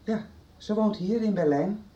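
Radio play: actress as calm young woman, low mellow voice, roomy sound. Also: measured pace, muffled recording, close to an everyday voice. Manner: calm, matter-of-fact explaining. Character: young woman revealing her family background. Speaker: radio drama character. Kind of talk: 1990s radio drama acting. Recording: roomy echo.

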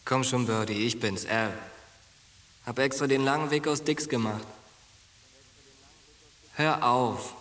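An actor playing a blunt, earnest young soldier in a radio drama, speaking colloquially. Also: male voice, mid-range voice, slow, long pauses, a bit bright, big-room sound. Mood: coaxing. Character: blunt young American soldier pleading with his traumatized friend.

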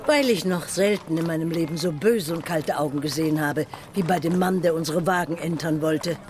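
Brisk, bossy troupe woman played by an actress. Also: sits low, darkish tone, slight background noise, lightly acted. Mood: firm. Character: brisk, straight-talking theatre troupe woman in charge.

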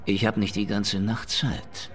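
An actor playing a tough, impatient police detective in a radio crime drama, speaking in a blunt, gruff and demanding way. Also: male voice, fairly low pitch, level tone, measured pace, natural voice. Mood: impatient interrogation. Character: tough, impatient male Harlem police detective interrogating suspects.

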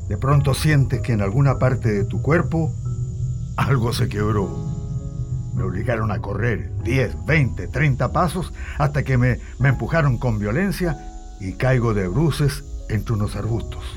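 An actor playing a former political prisoner who narrates his ordeal in a sombre, measured way for radio drama. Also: mid-range voice, lively intonation. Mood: sombre recollection. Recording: music bed under the voice.

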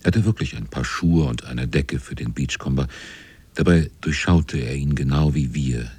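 An actor narrating a radio play as a reflective seaman, in a dark, husky, measured voice. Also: very deep voice, no echo. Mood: reflective, wry. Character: reflective seaman recounting his shipmates' voyage.

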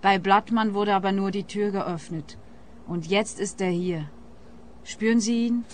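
A woman's voice: mid-range voice, fairly even intonation, a little slow, a little hiss or hum, natural voice. A woman playing a gullible, superstitious young neighbor in a radio play, speaking earnestly and very literally. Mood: earnest, eerie. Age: young adult.

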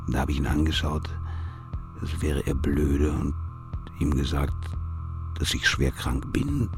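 An actor playing a sly, scornful convict in a German radio drama monologue, gravelly, sardonic and confiding. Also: male voice, a bass voice, full character voice. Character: sly, scornful male convict plotting his release. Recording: music under the voice.

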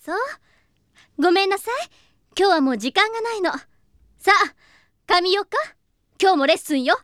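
A woman's voice: very high voice, animated, moderate pace, fairly bright tone, no echo, studio quality. A woman playing a proud rich teenage girl in an anime audio drama, imperious, with refined ladylike diction. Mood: haughty.

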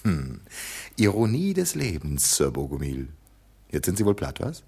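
Give me a young radio-drama hero, very deep and husky, defiant with a lively, swinging melody. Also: male voice, measured pace, heavily acted. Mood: triumphant. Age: young adult. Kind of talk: German radio drama acting.

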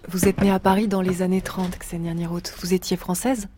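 A woman interviewing elderly guests for a radio documentary, asking short questions softly. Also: lowish voice, flat delivery, a little fast, background noise.

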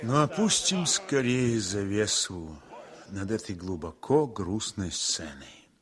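An actor as the solemn narrator of a war story, giving measured, expressive scripted narration in a radio drama. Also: male voice, fairly low pitch.

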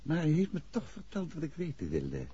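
An actor playing a self-assured, wry detective husband with a brisk, teasing manner, in 1960s radio drama style. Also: male voice, on the high side, wildly swooping pitch, warm tone, husky, no room sound, heavily acted. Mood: matter-of-fact. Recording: dull, noisy 1960s radio recording.

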